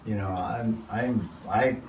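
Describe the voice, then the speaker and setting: A man's voice: lowish voice, slow pace. A man, a pastor, leading a casual workshop Q&A and rambling relaxedly with lots of "you know.". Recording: roomy, far from mic, noisy.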